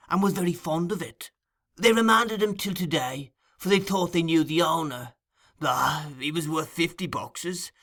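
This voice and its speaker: A man's voice: on the high side, fairly bright tone, voice pushed up. A man voicing a scheming old male thief-master who wheedles and keeps calling people "my dear.". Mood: wheedling.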